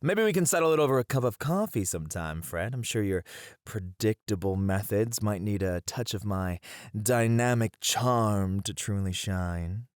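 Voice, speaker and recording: A man's voice: fairly low pitch, expressive, normal speed, smooth, no echo, studio quality, lightly acted. A man playing a flamboyant literature teacher in a scripted ASMR roleplay, speaking in a playful, flirtatious, theatrical way. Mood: teasing, flirtatious. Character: flamboyant, teasing, dramatic male literature teacher.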